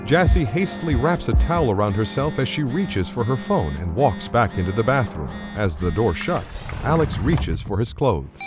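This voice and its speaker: A man's voice: mid-range voice, very animated, moderate pace, hardly any pauses. A man narrating a scripted action drama as its storyteller. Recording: very loud music behind the voice. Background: very loud music.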